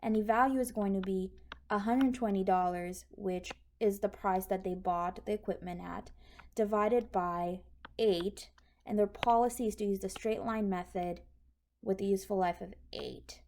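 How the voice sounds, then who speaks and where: A woman's voice: medium pitch, fairly even intonation, normal speed, darkish tone. A woman instructor explaining accounting in an informal, step-by-step tutorial style. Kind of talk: explanatory tutorial over handwritten notes. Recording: clearly noisy recording with clicks.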